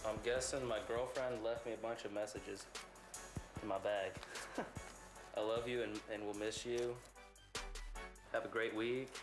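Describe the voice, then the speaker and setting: A man's voice: fairly low pitch, fairly even intonation, measured pace, long pauses, echoey room, music in the background. A young man, a contestant, talking casually and with amusement.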